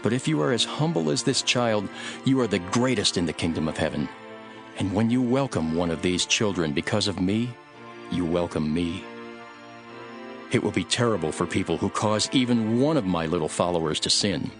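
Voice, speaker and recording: An actor playing an authoritative, earnest holy teacher in a dramatised scripture recording. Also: male voice, low voice, expressive, normal speed. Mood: calm, instructing. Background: music.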